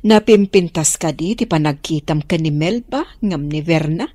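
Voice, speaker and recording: A woman's voice: low-pitched, lively intonation, normal speed, mellow, dry recording. Concerned mother, dry close voice. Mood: concerned, probing. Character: concerned, probing mother of a grown son. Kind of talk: Ilocano radio drama acting.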